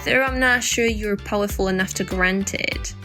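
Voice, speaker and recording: A woman's voice: medium pitch, moderate pace, sharp tone, soft background music, natural voice. A woman playing a playful, teasing young woman full of mock awe in a bedtime audio drama. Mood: mischievous.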